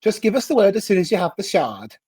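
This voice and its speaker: A woman voicing a firm, protective ally of the hero in an acted comic reading, earnest and husky. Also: mid-range voice, big pitch swings, quick pace, mellow. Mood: businesslike. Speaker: comic dub voice reader.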